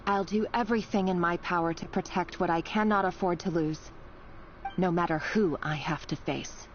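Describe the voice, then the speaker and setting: A woman's voice: fairly low pitch, normal speed, noisy background, natural voice. An actress playing a conflicted but resolute young woman with a hidden past, speaking earnestly in a dramatic video game style. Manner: earnest, theatrical. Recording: slightly dull game audio with music.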